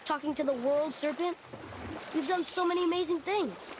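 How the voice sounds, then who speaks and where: A man's voice: very high voice, moderate pace, noisy background. A young male actor playing an earnest, hopeful boy who is curious, sensitive and a little hesitant, in a video game. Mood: wistful, earnest.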